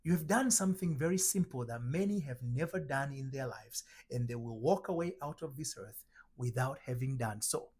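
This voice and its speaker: A middle-aged man from Tanzania giving a spiritual teaching talk, slow and emphatic, asking rhetorical questions. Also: medium pitch, a little mellow, slightly rough. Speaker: identity transformation coach.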